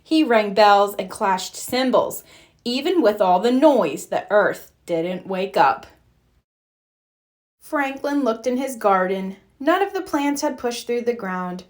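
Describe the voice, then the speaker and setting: A woman reads a children's storybook aloud, gentle and expressive. Her fairly high voice moves through a lively, animated melody. She takes it slowly and clearly, so young listeners can follow. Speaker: children's book reader. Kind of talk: read-aloud storybook narration.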